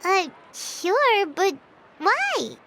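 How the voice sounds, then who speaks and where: A woman's voice: very high voice, big pitch swings, drawn-out words, sharp tone, slight background noise, exaggerated voice. A woman voicing a tiny chirpy floating fairy girl who talks about herself in the third person, in a game cutscene. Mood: curious. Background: game music.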